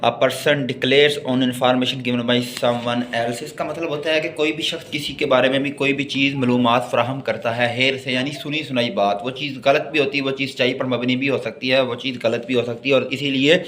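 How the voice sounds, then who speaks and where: A man's voice: mid-range voice, steady tone, a bit bright, slight background noise. A man with a Pakistani accent giving a bilingual law lecture, reading out formal text and explaining it by repeating and rephrasing. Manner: explanatory, repeats and rephrases points, slow and halting when reading.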